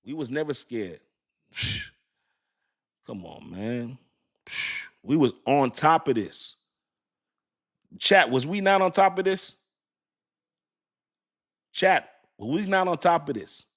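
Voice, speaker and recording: A man's voice: on the high side, expressive, slow pace, long pauses, fairly bright tone. Hyped slangy man hosting a crypto stream. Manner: hyped, repetitive, slangy, talks straight to chat. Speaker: crypto livestream host. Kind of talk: excited casual livestream talk to chat.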